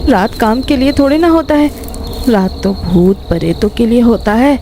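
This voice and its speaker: A woman playing an uneasy, devoted farmer's wife with a Bihari accent and a colloquial, pleading way of talking, in an animated horror story. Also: fairly high pitch, moderate pace, a little hiss or hum, lightly acted. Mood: fearful.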